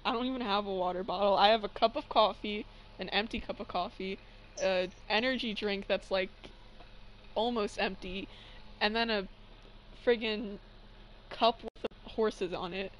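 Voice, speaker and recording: A woman's voice: medium pitch, fairly even intonation, normal speed. A young adult woman chatting casually on a livestream in a blunt, teasing, sweary way. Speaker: livestream co-host and fan fiction reader. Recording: noisy amateur livestream microphone.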